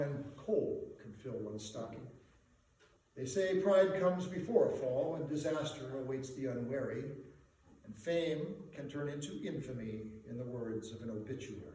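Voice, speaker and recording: A man delivers comic verse as a stage storyteller, heard from a distance in a very reverberant room with faint noise. His pitch swings widely as he moves through measured, theatrical phrases and emphatic swells. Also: warm tone. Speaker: storyteller performing for an audience. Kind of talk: dramatic recitation of comic rhyming verse. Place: live performance before a laughing audience in a reverberant room.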